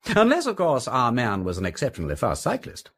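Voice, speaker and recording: An actor playing a breezy, quick-witted aristocratic gentleman sleuth with an upper-class English accent in a detective radio drama. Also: medium pitch, wildly swooping pitch, breathy edge, heavily acted. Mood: breezy, wry.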